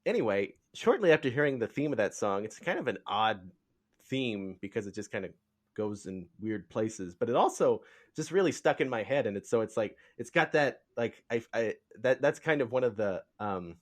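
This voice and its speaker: A man hosting a podcast in casual interview chat, speaking haltingly and rambling as he searches for words. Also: lowish voice, animated. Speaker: podcast host.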